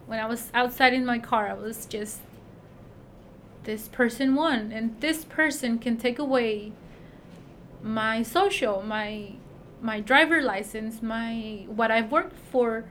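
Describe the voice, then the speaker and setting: A woman with a Mexican accent who works at a school, answering interview questions in a halting way, with many fillers and restarts. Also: on the high side, fairly bright tone, slight background noise. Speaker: school staff member.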